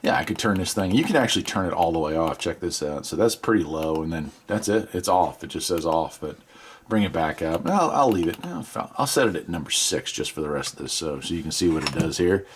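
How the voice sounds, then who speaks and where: American guy, kinda low voice, doing a laid-back folksy demo video, thinking out loud as he goes. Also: animated, fairly quick, a little hiss or hum. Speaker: radio hobbyist video presenter. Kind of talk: casual product demonstration.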